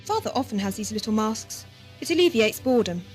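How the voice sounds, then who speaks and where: A woman's voice: a little high, lively intonation, fairly quick, close to an everyday voice. A woman playing a naive, devoted, childlike young woman in an audio drama, with a hesitant girlish manner. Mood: bright, earnest. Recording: amateur 1980s audio drama with music.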